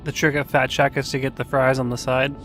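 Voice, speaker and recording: A man's voice: medium pitch, little pitch movement, brisk, fairly bright tone, faint music behind. A young man chatting casually while streaming a game, rambling with lots of fillers and joking about food and drinking. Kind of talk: casual gaming stream chat.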